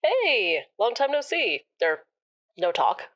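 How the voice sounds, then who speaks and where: A woman playing a casual young acquaintance on a phone call, talking in a halting, hesitant way. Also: on the high side, sing-song, fairly bright tone, dry recording, full character voice. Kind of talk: naturalistic audio drama phone conversation.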